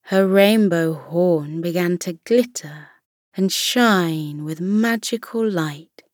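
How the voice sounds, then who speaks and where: A woman narrating a children's bedtime story in a slow, soothing style. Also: lowish voice, a little mellow, no room sound, clean studio sound.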